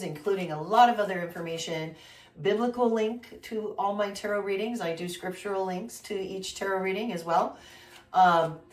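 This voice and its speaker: A woman's voice: medium pitch, echoey room, distant mic. A woman host giving a casual weekly tarot reading, congested from a flu, rambling with many fillers and restarts. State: congested, recovering from flu, coughing. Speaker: tarot reader, channel host.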